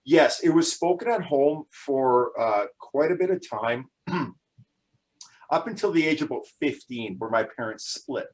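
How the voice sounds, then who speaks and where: A man's voice: mid-range voice, animated, a little slow, darkish tone, low-quality recording. Hesitant man in his forties. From Canada. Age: 40s. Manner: hesitant, many fillers and restarts; laughs often. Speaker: guest. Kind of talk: casual interview talk.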